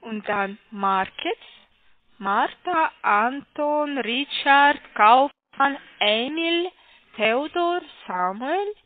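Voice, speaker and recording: A woman with a foreign accent making a halting sales phone call, hesitant and careful as she spells things out. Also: sits high, expressive, no echo. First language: not German. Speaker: call centre caller. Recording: muffled, narrow phone line.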